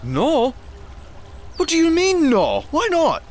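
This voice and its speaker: Cocky champion fighter, acted by a man, wildly swinging pitch. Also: wildly swooping pitch, slow, a little mellow, exaggerated voice. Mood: indignant. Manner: brash, matey, swaggering. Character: cocky, hot-tempered, hard-drinking male champion fighter. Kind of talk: audio drama acting.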